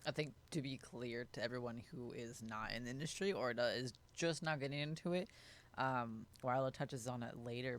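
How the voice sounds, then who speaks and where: A man co-hosting a podcast who works as an editor, chatting in an animated, emphatic way with quick asides. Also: medium pitch. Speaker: podcast co-host and video editor. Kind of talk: casual chat.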